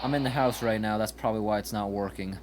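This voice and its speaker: A man's voice: fairly low pitch, fast. A man casually demonstrating a product in a plain, informal, explaining way. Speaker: gadget reviewer. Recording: noisy handheld amateur recording.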